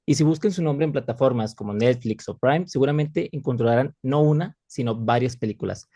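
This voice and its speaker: A man's voice: mid-range voice, fast talker, dark tone, dry recording. A man hosting the show, reading a formal, announcer-like introduction of his guest. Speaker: show host. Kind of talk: read introduction.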